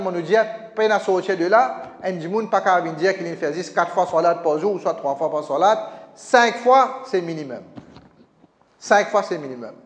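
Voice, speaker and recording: A middle-aged man preaching a Friday sermon into a stand mic in a small mosque, speaking with a lilt and emphatic, repetitive phrasing. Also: high voice. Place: small mosque prayer hall, indoors. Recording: echoey hall with long reverb.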